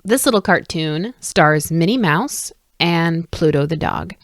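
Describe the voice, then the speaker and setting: A woman's voice: lowish voice, lively intonation, measured pace, no room sound, studio quality. A woman hosting a podcast, narrating casually and enthusiastically. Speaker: podcast host.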